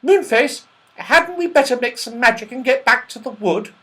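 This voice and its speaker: A woman voicing an excitable, watchful young fairy-tale girl in a children's story, urgent and exclamatory. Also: fairly high pitch, normal speed, pitched above the performer's own voice. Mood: worried.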